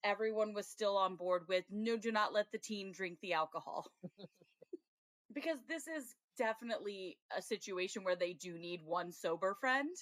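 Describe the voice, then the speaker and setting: A woman's voice: mid-range voice, moderate pace, a bit bright, no room sound. Woman cohost chatting about tv shows, laughs a lot, dry close sound. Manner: chatty, laughs often, acts out quoted reactions. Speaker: podcast co-host. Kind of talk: casual TV review chat.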